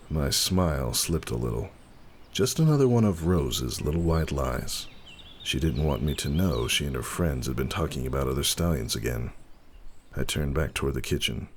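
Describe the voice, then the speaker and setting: A man giving a dramatic first-person story reading in a measured, wistful way. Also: extremely low pitch, lively intonation, slight background noise. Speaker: audiobook narrator. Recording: music behind the reading.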